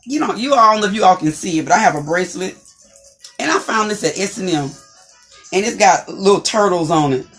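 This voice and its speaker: A woman with a deep, distinctive voice hosting an inspirational talk show, encouraging listeners and repeating her phrases for emphasis. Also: animated, quick pace, fairly bright tone, faint music behind.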